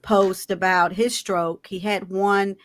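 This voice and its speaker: A woman's voice: mid-range voice, little pitch movement, takes it slowly. A woman hosting a panel discussion, asking earnest questions and often repeating words as she thinks. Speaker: host.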